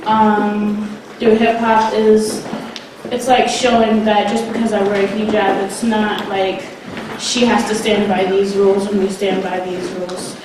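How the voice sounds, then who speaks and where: A woman's voice: mid-range voice, level tone, measured pace, noisy background. A young woman introducing herself casually and a bit hesitantly at a live event. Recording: echoey hall, mic far off.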